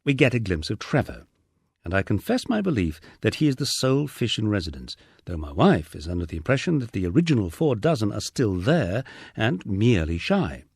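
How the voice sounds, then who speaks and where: A man's voice: fairly low pitch, sing-song, fairly quick, creaky, a little mellow, husky, no room sound, clean studio sound. A man narrating a first-person memoir audiobook in a wry storytelling manner.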